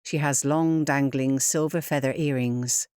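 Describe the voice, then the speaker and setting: A woman with a low voice narrates audio description from a script. Her delivery is measured and clearly enunciated, in a dry, close recording. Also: fairly even intonation, a little mellow, no room sound.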